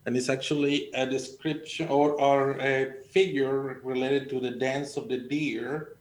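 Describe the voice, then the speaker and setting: Mexican-accented man, even-toned, in an echoey room. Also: measured pace. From Sonora, Mexico. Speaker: panel guest, agricultural extension educator. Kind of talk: conversational personal storytelling. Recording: echoey room, faint noise and rumble.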